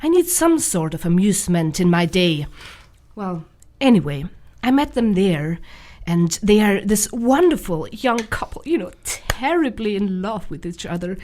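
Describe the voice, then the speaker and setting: A woman's voice: mid-range voice, sing-song, unhurried, a little mellow. A woman playing a sociable, teasing Gothic wife in a radio drama, chatty and chiding. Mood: wistful, defensive.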